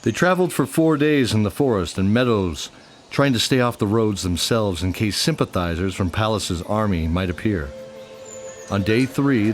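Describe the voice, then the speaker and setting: A man narrating a scripted fantasy radio drama as its storyteller, with music underneath. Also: lowish voice.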